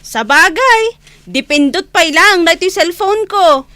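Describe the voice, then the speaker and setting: A woman's voice: very high-pitched, animated, takes it slowly, pushed and bright, dry recording, full character voice. A woman acting a young, nagging but accommodating tattler in a radio drama, with an Ilocano accent.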